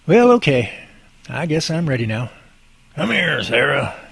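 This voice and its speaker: A man plays a devout, easygoing husband in an amateur radio drama. His voice is husky and animated, sitting a little high, and his way of talking is folksy and good-humored. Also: a little slow, dry recording, heavily acted. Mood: playful.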